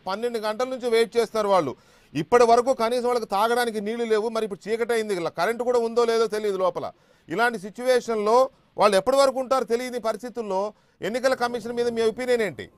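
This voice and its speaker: A man with a Telugu accent, a news anchor in a studio asking brisk, probing questions in a live interview. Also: extremely high pitch, steady tone, dry recording.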